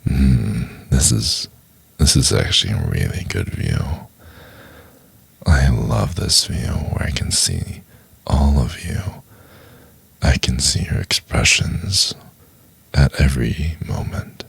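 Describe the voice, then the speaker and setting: Very deep, gravelly seductive professor played by a man. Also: dry recording, exaggerated voice. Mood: intimate, adoring. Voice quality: breathy, gravelly, very low, often near whisper.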